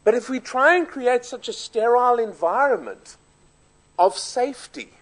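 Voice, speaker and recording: Man, South African accent, high voice, formal speech, measured, emphatic. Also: animated, a bit bright, rough recording. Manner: measured, emphatic, lists points in order.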